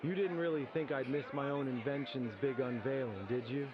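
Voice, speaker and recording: A man's voice: mid-range voice, a little fast, very noisy, natural voice. A man playing a grandiose male inventor in an analog horror animation, presenting like a pompous, mock-courteous showman. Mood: grand, showy. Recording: dull lo-fi audio under loud music.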